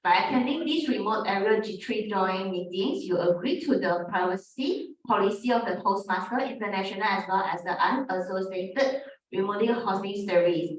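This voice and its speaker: A woman hosting a club meeting, reading the rules and introducing speakers in slow, halting English. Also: medium pitch. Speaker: meeting host. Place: club office room, recorded from afar. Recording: echoey, distant, dull room recording.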